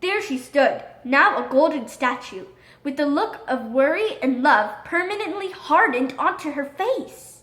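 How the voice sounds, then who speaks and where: Female narrator for children's storybook, high voice, very expressive and dramatic, recorded in an echoey room. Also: a little slow. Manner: expressive, dramatic read-aloud delivery. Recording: roomy echo.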